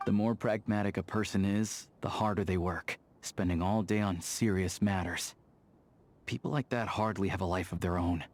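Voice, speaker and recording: A man voicing a pragmatic, easygoing young hunter and lawman in a video game, talking in an unhurried, matter-of-fact way. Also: low voice, fairly even intonation, natural voice. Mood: reflective. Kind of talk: video game character dialogue. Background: soft background music.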